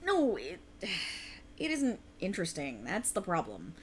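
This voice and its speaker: A woman's voice: fairly low pitch, wildly swooping pitch, takes it slowly, slight background noise, exaggerated voice. A woman playing a tired, passionate writer girlfriend in an intimate ASMR roleplay, rambling earnestly and getting playfully defensive. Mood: sheepish, weary.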